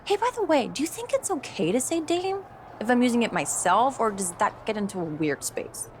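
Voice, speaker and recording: A woman's voice: fairly high pitch, sing-song, fairly quick, a little hiss or hum. A woman playing a vain, self-absorbed young pro wrestler in a video game, breezy and gushing with a sugary passive-aggressive edge. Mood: breezy, smug. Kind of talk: video game cutscene acting.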